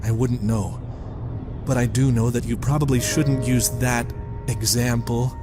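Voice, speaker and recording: A man's voice: medium pitch, fairly even intonation, a little slow, lightly acted. A man in a fan audio drama playing an earnest, loyal young warrior who speaks formally and with restraint to his superior. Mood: respectful, guarded. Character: earnest, loyal young male warrior-monk, respectful but uneasy. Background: music.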